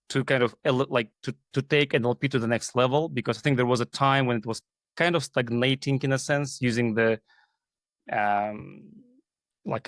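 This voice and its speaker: A man from Kazakhstan talks as a guest in an interview. His mid-pitched voice is recorded dry, and he explains things in a halting, conversational way. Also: dry recording. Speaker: guest, AI team leader. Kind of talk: interview talk.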